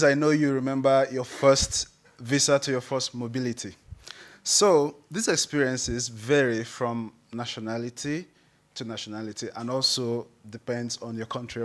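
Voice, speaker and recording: A man introducing a survey at a conference, hesitant and with many fillers. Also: mid-range voice. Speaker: presenter. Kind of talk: conference presentation.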